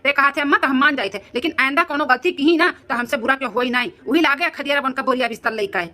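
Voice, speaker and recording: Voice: high voice, quick pace, pushed and bright. A performer voicing a hot-headed, blustering adult son, protective of his mother, in an Awadhi comedy cartoon, with an indignant manner and an Awadhi accent. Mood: grudging, threatening.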